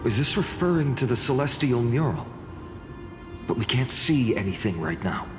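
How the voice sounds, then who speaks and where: An actor playing a sober, pensive explorer in a game, with a measured, pondering delivery. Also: male voice, medium pitch, big pitch swings, lightly acted. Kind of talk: game voice acting. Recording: echoey, music behind.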